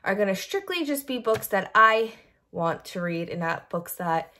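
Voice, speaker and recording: A woman's voice: medium pitch, big pitch swings, moderate pace, bright, forceful tone. A woman chatting casually to viewers as a book vlogger, enthusiastic and effusive, leaning on emphatic repetition.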